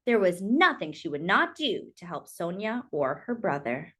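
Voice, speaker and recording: A woman reading a children's picture book aloud as a storyteller, with expressive, deliberate phrasing and dramatic emphasis. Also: fairly low pitch.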